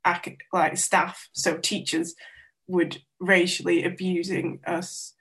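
A woman's voice: on the low side, little pitch movement, takes it slowly, fairly bright tone. A young woman artist answering interview questions in a thoughtful, hesitant way with many fillers. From Wales. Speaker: artist guest.

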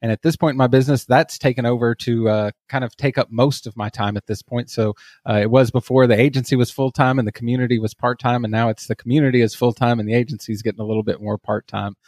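Relaxed Texan man on a dry mic. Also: medium pitch, quick pace, no echo. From Texas. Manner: relaxed and self-deprecating, with filler uhs. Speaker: podcast guest. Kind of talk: casual podcast conversation.

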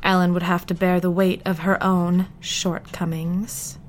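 A woman playing a bitter, defiant rebel diarist in an audio drama, confiding her secrets in a deliberate, theatrical way. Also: on the low side, level tone, natural voice. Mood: bitter, gloating.

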